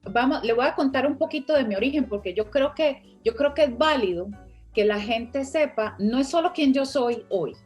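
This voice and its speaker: A woman's voice: high voice, expressive, moderate pace, darkish tone, soft background music. A woman from Rica, a finance teacher speaking as the guest in an online interview and explaining her ideas step by step. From Costa Rica.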